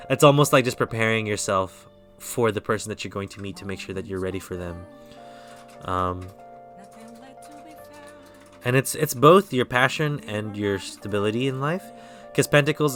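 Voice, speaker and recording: Streamer: low-voiced American-accented man, easygoing tarot reader who corrects himself mid-sentence, soft music under him. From Philippines. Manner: relaxed, rambling, self-correcting. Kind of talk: live-stream tarot reading.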